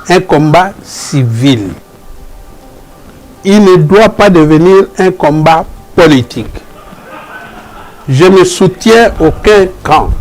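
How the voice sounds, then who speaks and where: A man with a Congolese French accent speaking as a professor in an interview, arguing emphatically and deliberately, repeating phrases for emphasis. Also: high voice, low-quality recording. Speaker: professor, guest and spokesperson. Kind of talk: earnest interview argument.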